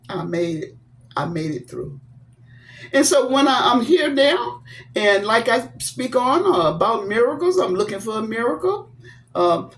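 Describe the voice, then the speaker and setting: Seventy-year-old woman, unhurried and sincere, devout and encouraging, faint hiss behind. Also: fairly low pitch. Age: 70s. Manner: slow, earnest, encouraging; devout, blessing viewers. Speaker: vlogger. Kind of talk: personal storytelling to camera.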